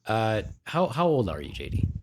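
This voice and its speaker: A man's voice: on the low side, wildly swooping pitch, normal speed, a little mellow. A man co-hosting a podcast, joking and teasing his co-host, laughing often. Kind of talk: casual podcast chat.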